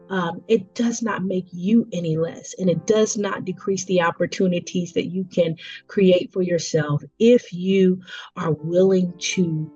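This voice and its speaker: A woman in her forties giving an earnest, reflective personal talk, slowing down to stress her points. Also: lowish voice, warm tone, slightly rough. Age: 40s. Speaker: education leader. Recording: dull lo-fi audio with faint music.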